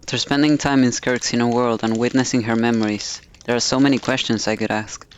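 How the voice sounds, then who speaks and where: A man's voice: mid-range voice, fairly even intonation, a little fast, lo-fi sound, slight background noise. A man streaming a video game, reading the dialogue aloud and commenting on the story as he goes.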